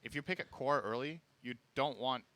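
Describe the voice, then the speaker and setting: A male esports caster with a bright, forceful tone and a middle pitch. He breaks down the picks and bans in a dry, analytical manner. His delivery is measured and matter-of-fact.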